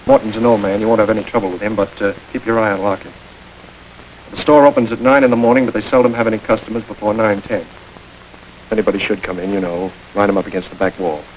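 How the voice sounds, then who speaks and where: A man playing a calm, commanding gang boss. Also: on the low side, fast, a little hiss or hum, lightly acted, poor audio. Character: smooth, calculating middle-aged gang boss. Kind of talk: 1930s crime film acting.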